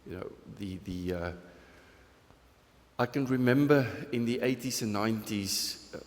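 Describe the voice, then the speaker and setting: South African pastor in echoing church. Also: male voice, mid-range voice, unhurried. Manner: earnest, conversational, laughs at his own jokes. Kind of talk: sermon with open questions from the congregation. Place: church sanctuary. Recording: long hall reverb in a church.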